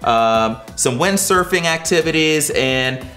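A man in his forties co-hosting a travel show, chatting with his wife in a relaxed, conversational way on a studio set. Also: fairly high pitch, slow pace, soft background music. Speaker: co-host. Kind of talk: casual scripted chat. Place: indoor studio set with sofa.

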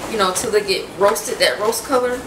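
A woman's voice: mid-range voice, normal speed, noisy background. A young woman in her twenties talking casually through a home cooking demonstration in her kitchen, saying "you know" and "y'all" a lot. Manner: chatty, loose, says "you know" and "y'all" often. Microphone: camera mic from across the kitchen. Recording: roomy kitchen audio from a distant camera, loud music underneath.

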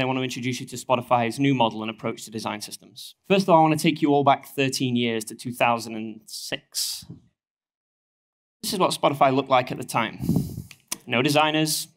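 A man in his thirties with a broad northern English accent presents at a conference lectern, speaking into a headset mic. He is getting over a cold, and his delivery is steady and explanatory, scattered with ums and uhs. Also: medium pitch. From northern England. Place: stage of a conference hall, at a lectern.

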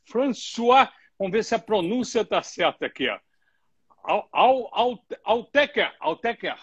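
A man's voice: sits high, expressive, a little slow, a bit bright, slightly rough. A middle-aged man hosting a live interview from home, chatty and joking and stumbling over his words. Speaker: host. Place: home room, indoors. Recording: muffled lo-fi live stream.

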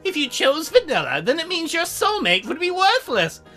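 A woman playing a coy, teasing, bashful young demon girl in a visual novel, with measured delivery. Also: very high voice, huge pitch swings, sharp tone, soft background music, full character voice. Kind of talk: visual novel character acting.

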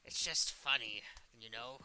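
A man's voice: mid-range voice, a little slow, pushed and bright, rough recording. A man role-playing a smug, teasing evil puppet killer in playful, mock-innocent banter over a game. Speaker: role-play gamer.

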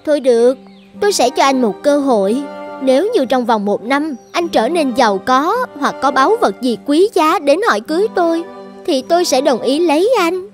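Haughty, high-voiced village chief's daughter. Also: female voice, lively intonation, normal speed. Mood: haughty, challenging. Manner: disdainful, cutting. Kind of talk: animated fairy tale dubbing. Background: light background music.

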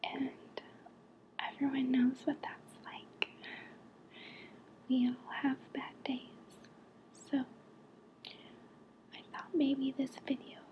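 A woman leads an ASMR relaxation in a close, breathy whisper. Her pitch sits high and her pace is very unhurried. She sounds gentle and reassuring throughout, as if guiding one listener. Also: monotone, muffled recording. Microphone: close to the mic.

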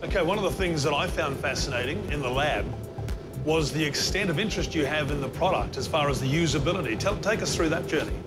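A man's voice: fairly high pitch, expressive, fast talker, over loud music. Upbeat Australian male host. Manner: upbeat, promotional. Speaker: show host. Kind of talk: scripted narration and interview questions.

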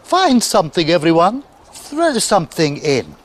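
A man voicing a spirited, excitable witch from a children's storybook, in an exclamatory manner. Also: high-pitched, unhurried, pitched above the performer's own voice, exaggerated voice. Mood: cheerful. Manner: exclamatory, emphatic.

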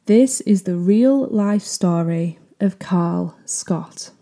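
A young woman hosting a podcast, introducing the episode in a gentle, upbeat way. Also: mid-range voice, slow pace. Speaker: podcast host. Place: living room with sofa.

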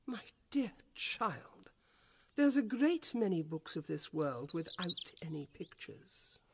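A woman playing a stern, prim governess in an audio drama, measured and schoolmistress-like. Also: lowish voice, very animated, slight background noise, heavily acted. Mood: disapproving. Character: stern, prim female governess reading lessons.

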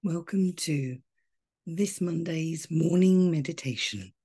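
Lady doing a guided meditation, real slow and mellow, soft breathy voice, calm n soothing. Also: sits low. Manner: slow, gentle, measured with long pauses.

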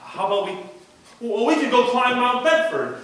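A man acting an earnest, excitable young small-town dreamer in a live 1940s radio play, talking emphatically. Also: extremely high pitch, unhurried, far from the mic, heavily acted. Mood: dreamy, eager. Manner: talkative, emphatic, stammering when flustered. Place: theater stage, recorded by a camera in the audience. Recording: echoey stage recorded from afar, noisy.

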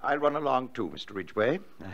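Formal solicitor with lively intonation. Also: male voice, medium pitch, lo-fi sound. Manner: formal, hesitant, deliberate. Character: formal, probing, measured family solicitor. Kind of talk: radio drama acting.

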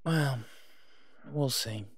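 A tired, protective werewolf played by a man talks in an intimate audio drama. His voice sits at a middle pitch and comes through dry and close. He speaks haltingly and reassuringly, devoted but on edge. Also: dry recording. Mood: weary, reassuring. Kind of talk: intimate roleplay audio drama, one side of a phone call.

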